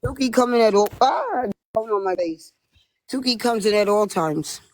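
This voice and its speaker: Woman livestreamer, hoarse, giggly, lively pitch, dry close sound. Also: moderate pace, no echo. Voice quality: giggly, playful teasing. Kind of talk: casual livestream chat.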